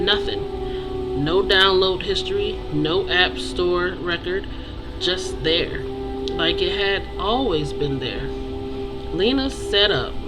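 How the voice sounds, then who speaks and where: An author presenting their own book in a nervous, halting voice with small stumbles and self-corrections. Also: mid-range voice, rough recording. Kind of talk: read-aloud fiction, then casual promotion. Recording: music playing behind voice. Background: music.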